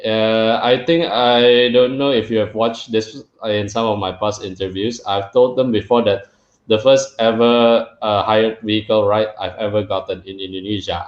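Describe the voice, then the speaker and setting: Singapore-raised guy hosting a chat, thoughtful then punchy, dull echoey room sound. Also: fairly low pitch. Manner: thoughtful, reflective, grows emphatic when joking. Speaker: podcast host. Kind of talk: casual interview chat.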